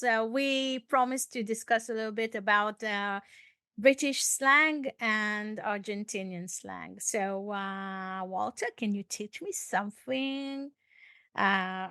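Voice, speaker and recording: High-voiced woman teaching at an unhurried pace. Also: no echo, clean studio sound. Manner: expressive, prompts and repeats words. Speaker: English teacher and podcast host. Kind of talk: casual conversational language lesson.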